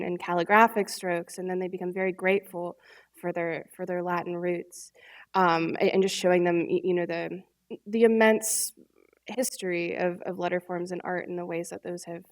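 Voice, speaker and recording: A woman from Michigan, a letterpress printer and teacher, talking earnestly on a panel with many restarts. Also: on the low side. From Michigan, United States. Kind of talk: panel discussion answers.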